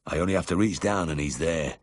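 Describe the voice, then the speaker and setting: A man voicing a bedridden, cheerful ex-miner with a husky South Yorkshire accent in a story reading. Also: deep voice, a little fast, no room sound, close to an everyday voice. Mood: fond, chatty. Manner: plain-spoken dialect, warm and uncomplaining.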